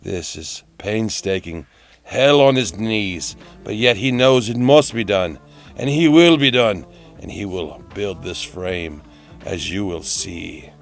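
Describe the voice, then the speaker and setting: A man giving a melodramatic, whimsical storybook-style narration of a DIY build, repeating phrases for effect, in a gravelly voice. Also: fairly low pitch, slow pace. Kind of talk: theatrical storybook-like narration of a hobby build video. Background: music.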